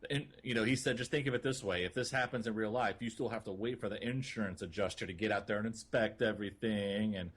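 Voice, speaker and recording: A man co-hosting a gaming podcast in a casual, rambling way, with a somewhat husky voice. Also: lowish voice, fast talker, hardly any pauses, a bit bright. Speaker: podcast co-host.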